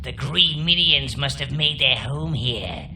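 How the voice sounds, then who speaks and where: A man voicing a sly old minion advisor in a video game, gravelly, fawning and sardonic toward his master. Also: mid-range voice, animated, a little slow, noisy background. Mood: servile, instructing. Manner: fawning, sardonic, theatrically relishing. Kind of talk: video game cutscene dialogue. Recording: loud music behind.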